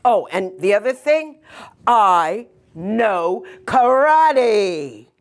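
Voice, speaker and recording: A woman's voice: medium pitch, big pitch swings, very slow. A woman voicing a plucky, outspoken little girl in a storybook tale, emphatic and exclaiming, stretching out her words. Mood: firm, defiant.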